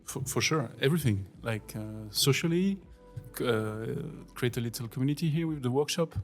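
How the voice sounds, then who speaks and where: A Swiss man in his forties, a visiting design teacher, answers interview questions casually with many pauses and fillers. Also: medium pitch, lively intonation, soft background music. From Switzerland. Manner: hesitant, many fillers, non-native phrasing. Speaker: guest workshop teacher.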